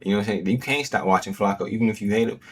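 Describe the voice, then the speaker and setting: Low-voiced man in slangy casual commentary. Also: rapid-fire, slight background noise. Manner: slangy, loose, many fillers. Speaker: host.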